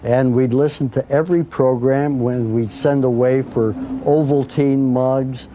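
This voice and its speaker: A man's voice: medium pitch, steady tone, a little slow, warm tone, slight background noise, poor audio. An older man reminiscing in an interview.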